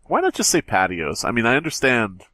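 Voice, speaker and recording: A man's voice: medium pitch, huge pitch swings, brisk, sharp tone, no echo. A man co-hosting a comedy podcast, jokey and sweary, bantering casually and reading a story aloud. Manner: jokey, puts on silly voices, swears. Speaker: podcast co-host.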